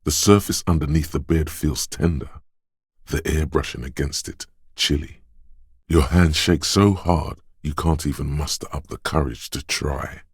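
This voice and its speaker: An actor playing the coolly urging inner voice of a detective's reflexes in a video game, narrating to the listener in a deliberate, intimate, theatrical way. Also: male voice, no room sound, clean studio sound, heavily acted. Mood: hushed narration. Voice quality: very deep, smooth, resonant. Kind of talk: dramatic second-person game narration.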